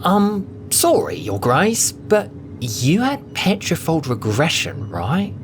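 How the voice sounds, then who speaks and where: A man's voice: huge pitch swings, slow, faint music behind, exaggerated voice. A man playing an inquisitive, incredulous doctor with a direct, questioning manner in an audio drama. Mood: polite, puzzled. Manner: questioning, raised pitch, direct. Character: inquisitive, incredulous male doctor, polite but challenging.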